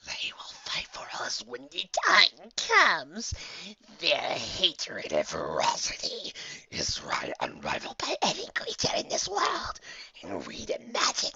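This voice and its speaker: A man voicing a bloated, sinister monster overlord in a fantasy story, speaking in a slow, commanding, hoarse whisper. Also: wildly swooping pitch, sharp tone, muffled recording, full character voice. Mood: gloating menace. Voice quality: hoarse breathy whisper, rumbling.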